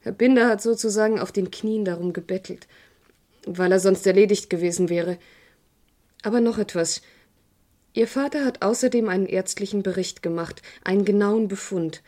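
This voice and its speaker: An actress playing a composed, guarded woman in a crime radio play, speaking in a measured, formal way. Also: medium pitch, warm tone, close to an everyday voice. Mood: grave, confiding. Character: composed, formal unmarried woman holding a secret.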